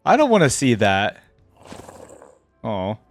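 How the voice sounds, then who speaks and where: A man's voice: on the low side, huge pitch swings, takes it slowly, slight background noise. A young man giving casual, laid-back reaction commentary, thinking aloud as host.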